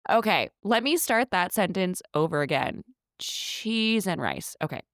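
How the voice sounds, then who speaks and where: A woman co-hosting a podcast, chatting casually as she recaps a movie, with quick asides and restarted sentences. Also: on the low side, sing-song, a bit bright, dry recording, clean studio sound. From United States. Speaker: podcast co-host.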